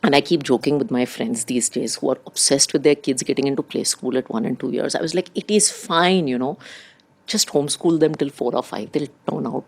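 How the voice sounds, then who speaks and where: A middle-aged woman with an Indian accent and Urdu as her first language, telling personal stories conversationally as an interview guest in an animated, anecdotal way. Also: rumbling low, brisk, husky. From India, raised abroad in diplomatic postings. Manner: animated, anecdotal, acts out quoted remarks.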